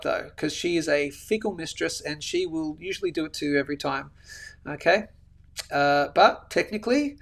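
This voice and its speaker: A man hosting a live chart-analysis show, animated and a bit sniffly, tagging his points with "okay?". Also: a little high, creaky. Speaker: host of a daily live precious-metals show. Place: indoors, a different room than usual.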